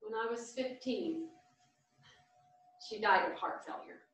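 Actress as elderly grandmother, earnest and expressive, bright forward voice, stage drama recorded from a distance with echo. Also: on the high side, takes it slowly. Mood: reminiscing. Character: devout, caring elderly grandmother seeking friends' advice. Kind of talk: staged play reading. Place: small theatre stage, seated at music stand. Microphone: far camera. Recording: very echoey theatre stage from far off.

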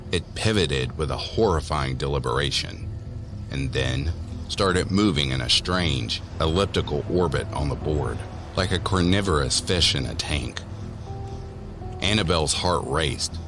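Very deep-voiced man narrating slowly. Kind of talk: scripted horror audio drama narration. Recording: voice over background music.

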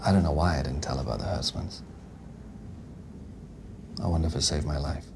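A man's voice: rumbling low, fairly quick, vocal fry. An actor in his forties playing a weary, dry-humored man, speaking in an understated way, with music behind him. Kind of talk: film dialogue and voice-over. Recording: film soundtrack with loud music.

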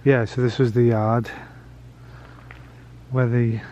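A man's voice: medium pitch, flat delivery, slow pace, dark tone, muffled recording. A man vlogging as he tours, giving casual commentary with hesitant, musing asides. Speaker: travel vlogger. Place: old prison building and its grounds. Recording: handheld camera, noticeable background noise.

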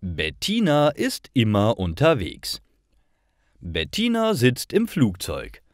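Deep-voiced man reading a story slowly, lively intonation. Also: no echo, studio quality. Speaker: narrator of stories for German learners. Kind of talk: scripted slow storytelling narration for language learners.

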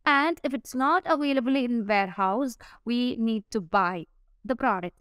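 Clear-voiced woman walking through software. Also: on the high side, normal speed, dry recording, studio quality. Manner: explains step by step with frequent "uh" fillers. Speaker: software tutorial presenter. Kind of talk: screen-recorded software walkthrough.

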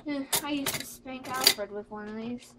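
A woman fires off loud, cheeky quips while she cooks in a home kitchen. Her voice sits high, and a clear layer of noise runs through the amateur recording. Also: normal speed. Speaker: cosplayer cooking on camera. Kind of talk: playful joking banter. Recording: noisy home video.

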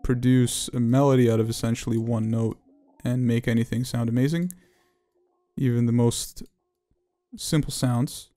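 Man with dark, mellow, even voice. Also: medium pitch, moderate pace, soft background music. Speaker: plugin developer presenting a tutorial. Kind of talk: unscripted software walkthrough.

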